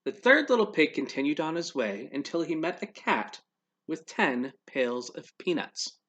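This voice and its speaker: A man reads aloud to young children as an expressive storyteller. His slightly high voice moves up and down a lot at an unhurried pace, and he addresses his listeners directly. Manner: pauses to quiz young listeners.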